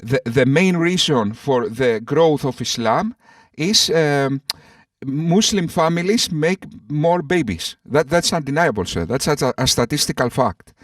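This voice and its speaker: A man argues in a voice chat, stumbling over his words and repeating phrases while calling others "sir." His voice sits a bit high and sounds distant from the mic in a dry space. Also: animated, a little slow, no room sound. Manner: repetitive, stammering, addresses others as sir. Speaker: atheist debater. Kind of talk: online voice-chat debate. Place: voice chat call.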